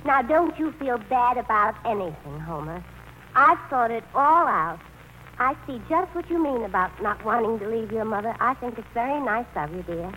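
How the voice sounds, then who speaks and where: A sweet, self-effacing sweetheart speaks in a very high voice that lilts up and down constantly. She is chirpy and eager to please, in 1940s radio acting style, with light noise from the old broadcast. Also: expressive, normal speed, pushed and bright, poor audio. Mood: understanding. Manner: chirpy, earnest, accommodating.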